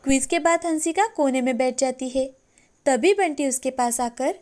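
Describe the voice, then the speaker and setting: High-pitched woman narrating children's stories. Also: moderate pace, a little mellow. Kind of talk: scripted children's story narration.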